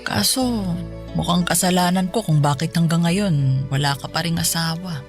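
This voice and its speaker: An ailing elderly mother played by a woman speaks with motherly affection and gentle teasing. She is colloquial and a little nagging, in radio drama style, over background music. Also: lowish voice, normal speed, close to an everyday voice. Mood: reflective, tender. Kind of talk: Tagalog radio drama acting.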